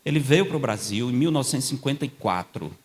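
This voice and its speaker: Older male guest preacher, mid-pitched, conversational storyteller who lifts his voice on key scripture points. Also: expressive, quick pace. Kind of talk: sermon with storytelling. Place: church.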